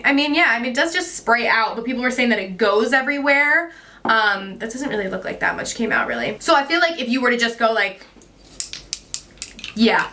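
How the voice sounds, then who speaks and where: A woman's voice: medium pitch, pushed and bright, slight background noise. A young woman in her twenties chatting through a makeup review vlog at home, with a slightly stuffy nose and lots of "like" and "you guys". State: stuffy nose from a sinus infection. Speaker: beauty vlogger.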